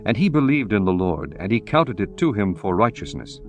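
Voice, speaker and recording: A man reading scripture aloud as a narrator, slow, measured and solemn. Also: fairly low pitch, big pitch swings, soft background music.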